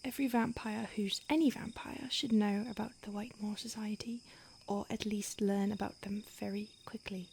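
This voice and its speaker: Ancient secretive vampire woman, voiced by a woman, hushed whisper throughout, higher-pitched, faint insect noise behind. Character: ancient female vampire on the run, secretive, urgent. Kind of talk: audio roleplay.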